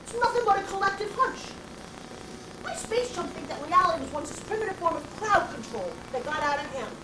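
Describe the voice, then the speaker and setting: A raspy, brash bag lady who buttonholes passersby and rambles on philosophically, as an actress puts her on. Her voice sits very high and leaps wildly up and down. It is heard from a distance in an echoey room, with a steady hiss of noise behind it. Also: a little fast. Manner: confrontational, addresses passersby directly. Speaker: actress performing a stage monologue. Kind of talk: theatrical comic monologue.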